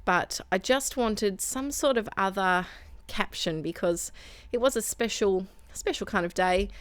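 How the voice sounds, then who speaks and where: A woman's voice: mid-range voice, unhurried, no echo. Chatty Australian woman with a failing voice. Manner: chatty, self-correcting, rambling asides. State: losing her voice, throat sore. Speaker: scrapbooking channel host. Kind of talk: voice-over craft process narration.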